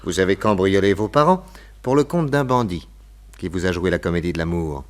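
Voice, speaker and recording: An actor playing a self-assured, amused detective with a theatrical, teasing manner in a French radio detective play. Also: male voice, sits low, expressive, fairly quick. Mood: mocking, confident. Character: cool, amused male detective posing as a gentleman thief.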